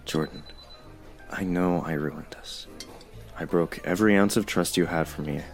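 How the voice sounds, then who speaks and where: An actor playing a remorseful young man pleading with his ex-boyfriend in an audio drama, halting and earnest. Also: deep voice, faint music behind. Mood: ashamed, hushed.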